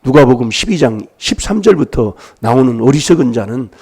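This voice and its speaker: A man's voice: mid-range voice, lively intonation, fairly quick, darkish tone, breathy edge. A man preaching an emphatic sermon in a church, prodding his listeners with rhetorical questions while he has a cold. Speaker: pastor.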